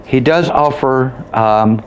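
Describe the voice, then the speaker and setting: A man's voice: medium pitch, slow, lo-fi sound. A man giving casual commentary as he plays and reviews a video game. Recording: faint background noise. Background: game ambience.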